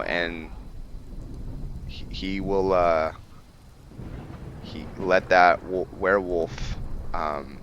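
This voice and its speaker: Low-voiced man with a bright, forceful edge. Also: highs cut off, a little hiss or hum. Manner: thinking aloud, halting, trailing off mid-sentence. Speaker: gaming video host. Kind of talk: casual roleplay game commentary.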